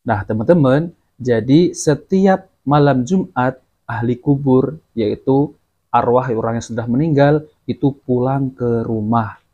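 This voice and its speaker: A man's voice: medium pitch, a little slow, warm tone, highs cut off. A man hosting a religious commentary, addressing his viewers directly and explaining in a didactic way. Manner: didactic, repeats and explains clips. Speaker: channel host and commentator.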